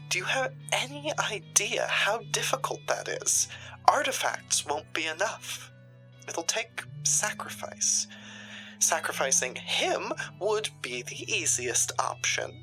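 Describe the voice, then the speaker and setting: A woman's voice: medium pitch, very animated, slow, pushed and bright, soft background music, exaggerated voice, low-quality recording. A woman playing a haughty, sardonic, ruthless occult shopkeeper in a theatrical, condescending drama style. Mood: grave, bargaining. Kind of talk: dramatic audio roleplay acting.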